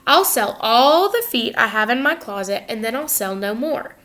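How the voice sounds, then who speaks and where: A woman's voice: a little high, very animated, moderate pace, a bit bright. A woman voicing an old male peddler from a storybook, a cheerful street seller with sing-song calls. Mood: resigned. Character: cheerful old male peddler hawking feet, sighing with age. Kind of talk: storybook read-aloud character voice.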